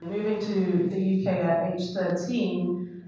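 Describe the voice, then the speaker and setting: A woman's voice: lowish voice, fairly even intonation, slow, dark tone, muffled recording. A woman from Trinidad with a Trinidadian accent, speaking as a panellist in a reflective, conversational way with fillers. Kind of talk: panel discussion. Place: university hall. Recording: echoey hall, speaker far from mic.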